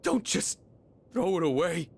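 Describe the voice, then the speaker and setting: Creaky, emphatic urgent young ally. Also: female voice, lowish voice, expressive, unhurried, a little mellow, exaggerated voice. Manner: emphatic, imploring. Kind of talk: dramatic video game voice acting. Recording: music under the voice.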